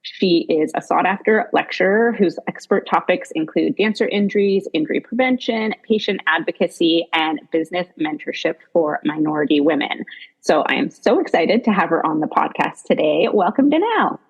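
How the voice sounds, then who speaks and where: A woman speaks as the host of an interview podcast. Her voice sits a little low and has a husky edge. She introduces and questions with an enthusiastic manner. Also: lively intonation, normal speed, poor audio.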